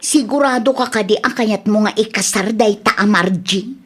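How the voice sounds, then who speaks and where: A woman's voice: medium pitch, moderate pace, husky, natural voice. A woman with an Ilocano Filipino accent acting as a restless, self-willed ex-partner in a radio drama. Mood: pleading.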